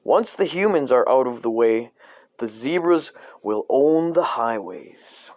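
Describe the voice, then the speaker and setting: A man reads aloud in a flat, deadpan comic manner, dropping crude asides between lines. His pitch sits in a middle range but swings widely up and down. The sound is dry and close, with no room around it. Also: measured pace, dry recording. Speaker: host reading a coloring book aloud.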